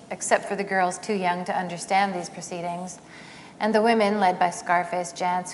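Low-voiced woman reading in a hall. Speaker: author reading. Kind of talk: reading aloud from a novel. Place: theater stage, seated in armchair. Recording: long hall reverb, faint noise.

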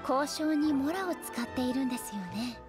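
Woman acting a high-voiced, dignified female deity ruler. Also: loud music behind the voice, close to an everyday voice. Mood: composed, formal. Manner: formal, measured polite speech. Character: composed, dignified female deity ruler, aloof and literal-minded. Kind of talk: anime game voice acting.

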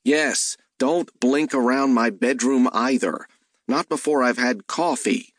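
A man's voice: mid-range voice, slow, dry recording, studio quality. Man acting an exasperated, sarcastic detective. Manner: indignant, sarcastic.

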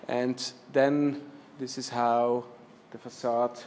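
A man in his fifties presenting slides in a lecture room, speaking with many restarts and fillers, trailing off softly at the ends of phrases. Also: medium pitch, level tone, takes it slowly, slight background noise. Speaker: architecture lecturer. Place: lecture room with projector screen.

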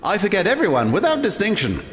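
An unhinged, proud old genius in a fantasy game, rambling theatrically in a fairly high voice. The pitch swoops wildly, and long hall reverb trails each word. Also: male voice, huge pitch swings, fast. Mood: rueful, addled. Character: eccentric, forgetful old male genius architect, proud and unhinged. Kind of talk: dramatic video game acting.

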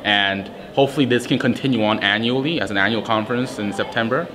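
A teenage student organizer in a casual interview, talking haltingly with frequent ums and restarts. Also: male voice, mid-range voice, background noise. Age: teens. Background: music.

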